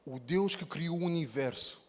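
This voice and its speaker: A man's voice: on the high side, normal speed. Portuguese-accented male guest preacher, playful and exclamatory, long church reverb. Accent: European Portuguese. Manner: playful, exclaiming, talks straight to the congregation. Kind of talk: lively sermon opening. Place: large church hall.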